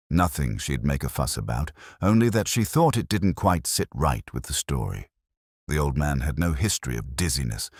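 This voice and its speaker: Very deep husky man narrating a mystery. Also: dry recording, studio quality. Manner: measured, brooding, literary delivery. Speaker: narrator of a detective story. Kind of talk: scripted first-person mystery narration.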